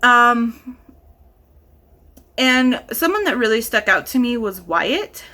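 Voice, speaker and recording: A woman with a fairly high, very bright voice speaks like a book vlogger talking to her audience. She is chatty and informal, with an animated, gushing enthusiasm in the way she talks. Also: slow pace. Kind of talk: casual chatty book review to camera.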